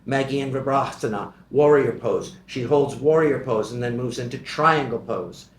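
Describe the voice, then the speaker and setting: A man reading the stage directions at a table read of a screenplay, in a measured, even way. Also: medium pitch, echoey room.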